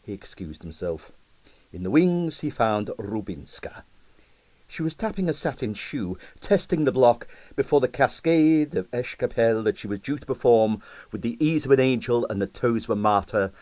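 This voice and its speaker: A man's voice: medium pitch, lively intonation, normal speed, dry recording. A man reading a comic novel as an audiobook narrator, with wry, theatrical delivery. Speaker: audiobook reader.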